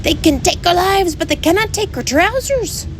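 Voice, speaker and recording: High, rowdy pixie war cries. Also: animated, moderate pace, background noise. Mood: defiant battle cries. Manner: yelling war cries in dialect. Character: rowdy horde of tiny male pixie warriors.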